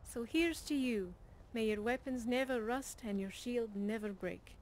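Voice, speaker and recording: A woman's voice: a little high, measured pace, a little mellow, slight background noise, natural voice, low-quality recording. A woman playing a tough, blunt, no-nonsense blacksmith in a fantasy video game. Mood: proud, celebratory. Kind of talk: video game character dialogue.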